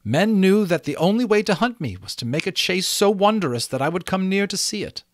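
A man's voice: sits high, big pitch swings, a little fast, dry recording, clean studio sound, pitched above the performer's own voice. A man voicing a proud, haughty female unicorn with emphatic delivery in a storybook reading. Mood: amused, proud. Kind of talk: storybook character voice.

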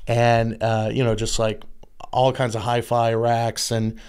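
A young man from Texas, a guest comedian, telling animated stories with quick jokes on a podcast mic. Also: mid-range voice, clean tone. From San Antonio, Texas. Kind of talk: casual podcast chat. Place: small studio room. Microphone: studio mic on arm, headphones.

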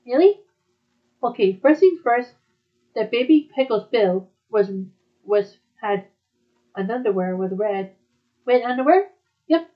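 A woman with a fairly high voice chats in a casual, conversational way. The recording is slightly dull and lo-fi. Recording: dull, muffled lo-fi audio.

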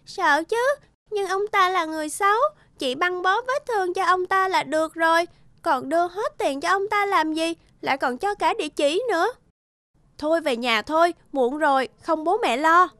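A woman voicing a kind, earnest young sister in an animated morality tale. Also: very high voice, no echo.

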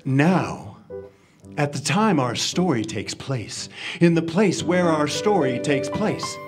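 A man's voice: a little high, lively intonation, slow pace. A man narrating a storybook tale in a live children's radio play. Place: live performance in a library room before an audience. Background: guitar music.

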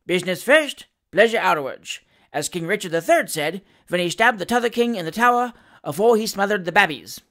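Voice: medium pitch, expressive, a little fast, fairly bright tone. A storybook reader voicing a cheeky, glib male manservant with a Cockney accent and a familiar manner. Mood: breezy, familiar.

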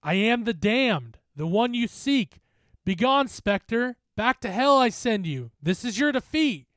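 Defiant teen boy in a horror tale, played by a man: high, strained shouting, slow emphatic chanting, desperate. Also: big pitch swings, no room sound, clean studio sound, full character voice.

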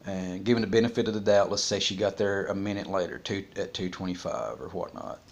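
A man in his forties talking casually and unscripted, haltingly thinking aloud, on a home vlog. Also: low-pitched, muffled recording. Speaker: vlogger discussing a criminal case. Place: small room at home, indoors.

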